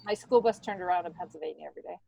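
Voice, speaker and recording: A middle-aged American woman chatting casually as an interview guest, talkative and quick to laugh. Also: fairly low pitch, creaky, mellow, slightly rough, poor audio. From upstate New York, United States. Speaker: podcast guest, stand-up comedian and writer.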